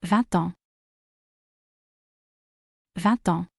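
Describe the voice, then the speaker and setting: A woman slowly and carefully saying short drill phrases twice each for learners to repeat. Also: lowish voice, gravelly, breathy edge, no room sound, studio quality. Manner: careful, deliberate enunciation. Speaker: pronunciation model.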